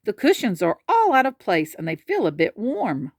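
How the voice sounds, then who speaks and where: A woman voicing a medium-sized mama bear who talks in a breathy, gasping way. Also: mid-range voice, huge pitch swings, normal speed, dry recording, clean studio sound. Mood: alarmed.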